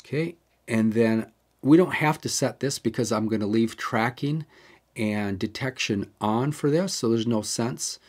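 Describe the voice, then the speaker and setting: A man's voice: mid-range voice, a little slow, darkish tone. Chatty man explaining camera settings. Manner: chatty, digresses, explains step by step. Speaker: camera tutorial host. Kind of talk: casual how-to walkthrough.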